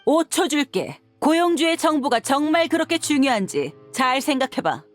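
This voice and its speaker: A woman's voice: sits high, big pitch swings, normal speed, soft background music. A woman playing a tough, frank and confident mercenary in a video game, with a blunt, assertive way of talking. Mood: menacing.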